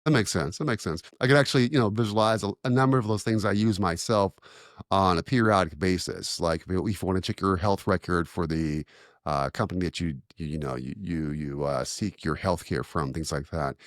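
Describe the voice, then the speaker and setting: A man's voice: deep voice, fairly quick, dry recording, studio quality. A man hosting a podcast, introducing his guest in a friendly, rambling way with repeated words. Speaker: podcast co-host. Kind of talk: podcast interview.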